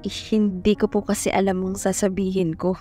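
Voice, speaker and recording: A woman's voice: mid-range voice, flat delivery, brisk, mellow, faint music behind, natural voice. A woman playing a shy, smitten young woman narrating an audio drama, with a Filipino accent. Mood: shy, polite.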